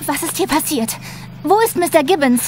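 High-voiced, shaken young woman. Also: lively intonation, normal speed, darkish tone, a little hiss or hum. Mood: alarmed. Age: young adult. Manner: anxious, fragile. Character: shaken young female paid companion. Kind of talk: German radio drama acting.

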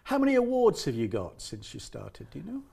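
A man's voice: on the high side, a little fast, vocal fry, husky. A man interviewing a guest in a chatty, talkative way, often restarting his sentences. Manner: talkative, animated, frequent restarts. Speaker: interviewer and director.

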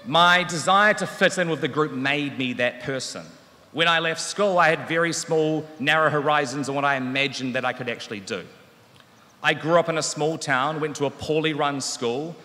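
A man's voice: fairly high pitch, normal speed, bright, forceful tone. A man in his thirties with a New Zealand accent, a teacher giving a wry, earnest graduation speech at a podium microphone in a large hall. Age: 30s. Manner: wry, self-deprecating, earnest. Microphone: podium microphone on stand. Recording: long hall reverb.

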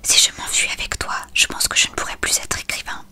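A reader voicing a smitten, anxious older male writer from a novel in a breathy whisper. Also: a little fast, bright, forceful tone. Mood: devoted.

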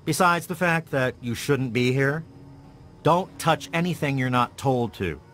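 A man's voice: medium pitch, expressive, measured pace, fairly bright tone. An actor playing a resentful, territorial male lab worker in a video game, with an irritable, scornful, curt manner. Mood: annoyed, dismissive. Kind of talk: scripted game dialogue.

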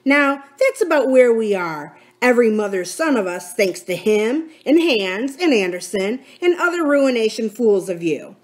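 A woman's voice: a little high, big pitch swings, moderate pace, hardly any pauses, voice pushed up. A woman voicing a cunning, scornful male pirate captain and sea cook in a storybook, with sardonic, folksy sailor talk. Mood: indignant, vehement. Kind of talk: dramatic storybook character reading.

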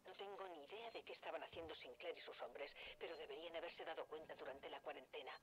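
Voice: medium pitch, fast talker, natural voice. An actor voicing a worried station doctor urgently reporting a strange patient in a game. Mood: uneasy. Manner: urgent, uneasy. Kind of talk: game dub dialogue.

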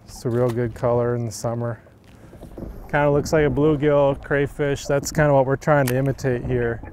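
A man's voice: mid-range voice, normal speed, noisy background. Easygoing man teaching from a boat. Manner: relaxed, easygoing, many fillers. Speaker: pro bass angler. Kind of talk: on-the-water fishing instruction. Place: open boat on a lake, outdoors.

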